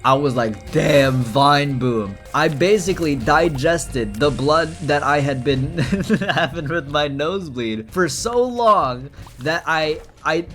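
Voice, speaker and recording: A man's voice: fairly high pitch, sharp tone. A young man raised in England, casually commenting on his gameplay and telling chatty stories with frequent laughter. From Philippines, raised in England. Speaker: gaming streamer.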